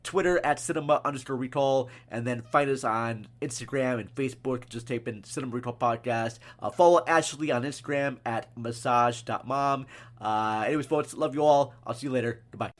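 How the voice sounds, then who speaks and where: A male podcast host speaks casually at an average pitch. He makes announcements in an informal, effusive manner. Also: brisk.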